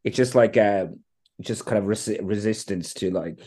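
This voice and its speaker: Rambling Irish man, low voice. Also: moderate pace, warm tone. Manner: rambling, many restarts, laughs often. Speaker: podcast guest, fan banner maker. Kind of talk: casual podcast chat.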